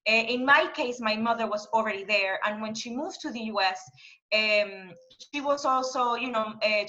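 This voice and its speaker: A woman in her thirties from Panama, speaking in a thoughtful, halting way with many fillers as a guest on a panel conversation. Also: fairly high pitch, bright, forceful tone, lo-fi sound. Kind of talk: reflective conversation.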